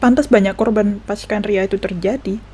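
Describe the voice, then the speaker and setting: A woman's voice: medium pitch, steady tone, fast talker, warm tone, slight background noise. A woman with an Indonesian accent giving casual, chatty commentary as she plays a video game. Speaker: gaming streamer. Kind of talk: casual playthrough commentary mixing Indonesian and English. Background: game music.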